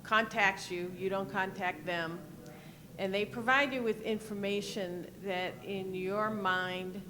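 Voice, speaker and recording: Female audience questioner, very unhurried, tentative wording, clear noise in the background. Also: mid-range voice, steady tone. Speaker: audience member asking a question. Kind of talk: spontaneous question from the floor. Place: indoor conference session.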